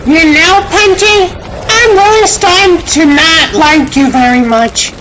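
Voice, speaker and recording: A woman's voice: very high-pitched, measured pace. A woman voicing a feisty, wisecracking young antiheroine in a comic dub, sassy and exasperated. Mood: sassy defiance. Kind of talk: fan comic dub acting. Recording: clear noise behind voice.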